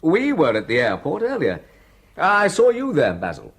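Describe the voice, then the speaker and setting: A husky young sleuth. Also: male voice, fairly high pitch, huge pitch swings, normal speed, full character voice. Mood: probing. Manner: curious, quietly alert. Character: young male officer on leave, amateur sleuth. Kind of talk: radio drama acting.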